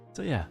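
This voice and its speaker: A man streaming a casual chat, his voice raspy and strained, rambling and swearing as he talks. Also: darkish tone. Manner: rambling, swearing, laughing often.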